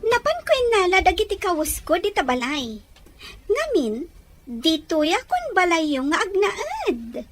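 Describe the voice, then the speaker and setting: A woman's voice: extremely high pitch, wildly swooping pitch, unhurried, exaggerated voice. A woman acting a headstrong, clingy young woman in an Ilocano audio drama, whiny and insistent. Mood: smugly insistent. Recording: music behind the voice.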